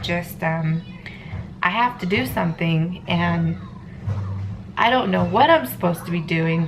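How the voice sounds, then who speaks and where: A woman's voice: on the low side, gravelly, noisy background. Stuffy-voiced woman vlogging earnestly. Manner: earnest, rambling, heartfelt, many ums. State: stuffy head cold. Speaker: family vlogger. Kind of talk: casual personal vlog monologue.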